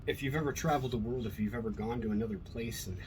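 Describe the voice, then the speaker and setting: A man's voice: mid-range voice, very fast. A middle-aged man from Colorado with an American accent, talking in a casual, rambling monologue with an earnest, blunt, freely swearing manner. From Colorado, USA. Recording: noticeable background noise.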